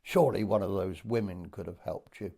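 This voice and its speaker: Old english guy in his 80s, low creaky voice, telling memories, stops and starts a bit. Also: warm tone. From Dorset, England. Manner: hesitant, pausing storytelling. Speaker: interviewee recalling wartime childhood. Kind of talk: oral history reminiscence.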